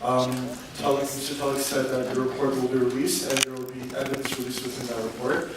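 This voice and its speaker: A man's voice: medium pitch, level tone, quick pace, far from the mic. A man in the audience asks direct, pointed questions. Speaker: audience member asking a question. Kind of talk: question from the floor. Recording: echoey hall audio from afar with noise.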